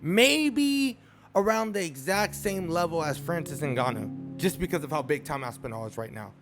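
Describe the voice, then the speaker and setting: Casual male vlogger, slightly high voice, animated and repetitive delivery, music bed under him. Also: normal speed. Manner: animated, repeats phrases for emphasis. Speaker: sports commentary YouTuber. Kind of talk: casual opinion vlog.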